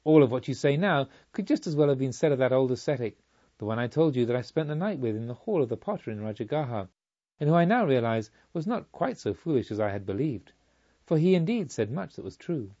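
A man's voice: medium pitch, animated, brisk, dark tone, lo-fi sound. A man voicing a restless, yearning male pilgrim seeker in an audiobook, earnest and pleading. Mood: discontented.

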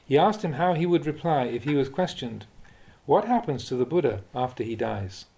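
A man, a Buddhist monk, reading spiritual teachings aloud in a measured, deliberate, instructive way, like an audiobook of a talk. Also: mid-range voice, expressive, gravelly, dark tone, poor audio. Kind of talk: audiobook-style reading of a dharma talk with questions and answers.

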